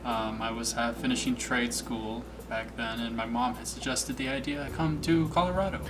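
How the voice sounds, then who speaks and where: Young American male interviewee, medium-pitched, conversational, slightly distant under clear music. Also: steady tone, moderate pace. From Washington State, USA. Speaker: full-time employee being interviewed. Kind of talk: casual interview answers.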